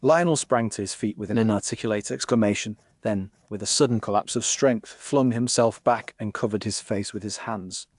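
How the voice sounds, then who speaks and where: A man narrating a scripted period mystery in a formal, literary, measured style. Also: fairly low pitch, dry recording, clean studio sound.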